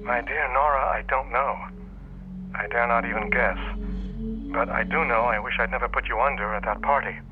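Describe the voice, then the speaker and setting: An actor playing a concerned, scholarly male mentor, speaking gravely and with measured hesitation through a telephone filter. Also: medium pitch, fairly even intonation. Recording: tinny phone line, music behind.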